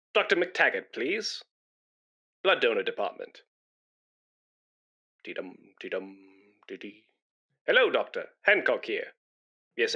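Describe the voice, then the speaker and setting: A man's voice: fairly high pitch, a bit bright. A man playing a pompous, self-important, easily aggrieved middle-aged man in a radio comedy. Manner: pompous, indignant, sententious. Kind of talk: British radio comedy acting.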